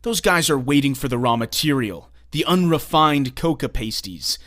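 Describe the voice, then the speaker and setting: A man's voice: medium pitch, expressive, normal speed, fairly bright tone, lightly acted. A man playing a confident, commanding young costumed vigilante in a comic dub, cool, assured and condescending. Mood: calm, commanding. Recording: faint music behind the voice.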